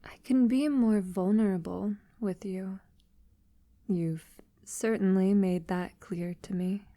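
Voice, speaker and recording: A woman playing a centuries-old, wealthy vampire lover in an intimate ASMR audio roleplay, soft-spoken and half-whispering with hesitant pauses. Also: mid-range voice, fairly even intonation, dry recording. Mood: fond, wistful.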